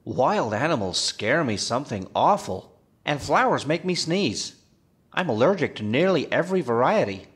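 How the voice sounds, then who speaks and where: An actor playing a timid, earnest forest ranger, polite and matter-of-fact, in a comedic audio drama. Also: male voice, mid-range voice, big pitch swings, normal speed, breathy edge. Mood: mild, timid.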